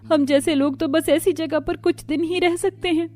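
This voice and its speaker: A woman acting an expressive, chatty young village daughter-in-law in a cartoon story. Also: very high-pitched, normal speed. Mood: apologetic.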